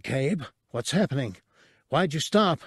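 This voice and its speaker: A man's voice: fairly high pitch, animated, measured pace, a bit bright, breathy edge, dry recording, studio quality, voice pushed up, heavily acted. A man doing a wary, furry alien brute. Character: large, furry male alien, cautious.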